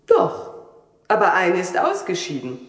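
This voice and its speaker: A woman's voice: fairly low pitch, huge pitch swings, measured pace, husky, full character voice. An actress playing a secretive, assured woman who coaxes others into a cult in a German audio drama. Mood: businesslike, secretive. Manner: coaxing, assured.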